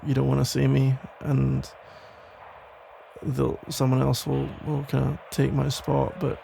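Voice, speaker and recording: A man playing a protective, tender police officer still in love, speaking haltingly in an intimate ASMR roleplay. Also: mid-range voice, monotone, gravelly, a little hiss or hum, low-quality recording. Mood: earnest, careful. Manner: hesitant, halting, rambling with sighs and soft chuckles. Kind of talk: ASMR roleplay, intimate one-sided conversation.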